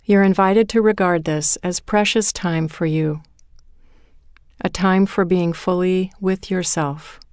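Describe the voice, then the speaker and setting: A woman leading a guided meditation in a calm, measured way, with deliberate pauses between phrases. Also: on the low side, clear, resonant tone, no echo, studio quality. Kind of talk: guided meditation, scripted narration.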